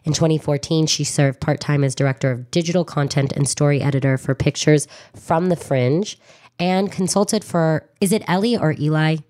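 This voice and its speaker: A woman's voice: low-pitched, moderate pace, warm tone. A woman hosting a radio interview in a chatty, informal, effusive way, often breaking off mid-thought, recorded in a studio. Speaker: radio show host. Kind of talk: chatty interview, partly reading a bio.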